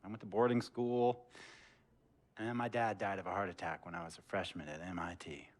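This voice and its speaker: A man's voice: low-pitched, fairly even intonation, brisk, natural voice. Eccentric wealthy boss, middle-aged male actor, controlling and slick, theatrical storyteller for a game cutscene. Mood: reflective. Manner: theatrical, storytelling, swinging from hushed to emphatic. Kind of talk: dramatic game cutscene monologue.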